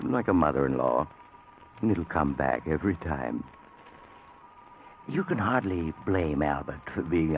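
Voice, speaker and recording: An actor playing a sly, darkly amused male storyteller in a radio suspense series, talking in a wry, confiding, measured way. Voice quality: very deep voice. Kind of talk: 1940s radio suspense narration. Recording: hissy, tinny old radio broadcast.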